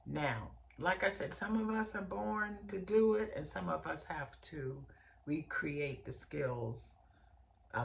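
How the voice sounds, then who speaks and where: A middle-aged woman hosting a motivational podcast lesson, hoarse from a summer cold, with a raspy, bassy voice and a chatty manner that speaks straight to her listeners. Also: a little hiss or hum. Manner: warm, chatty, direct address to listeners. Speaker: podcast host, hairstylist and coach.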